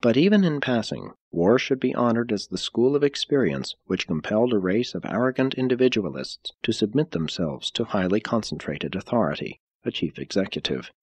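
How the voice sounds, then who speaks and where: Male narrator, lowish husky voice, widely varied intonation, deliberate formal audiobook reading. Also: big pitch swings, no room sound.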